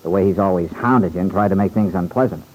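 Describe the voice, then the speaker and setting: Young guy home from the war in old radio drama, earnest, husky, dark soft tone. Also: sits low, fast talker, poor audio. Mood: indignant. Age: young adult. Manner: earnest, colloquial, reflective. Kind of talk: old-time radio serial acting.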